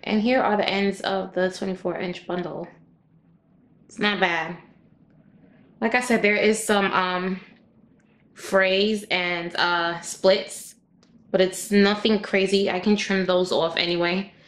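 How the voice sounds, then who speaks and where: A woman with a raspy voice, chatting casually to her viewers as she reviews hair and walks through a tutorial. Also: medium pitch, steady tone, slow, pauses often. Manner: chatty, addresses viewers directly. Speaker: hair vlogger.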